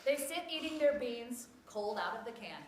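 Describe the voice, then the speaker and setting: A woman reading scripted story narration aloud in an expressive, theatrical way. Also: on the high side, normal speed. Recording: echoey room with clear background noise.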